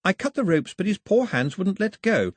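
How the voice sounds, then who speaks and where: A nervous, talkative shipwrecked girl, as a male reader puts her on, rushing out apologies in a high, lively voice. Her tone is slightly husky and very dry, with swooping pitch. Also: no room sound, full character voice. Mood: anxious. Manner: breathless apologetic rambling. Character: anxious chatty shipwrecked young girl.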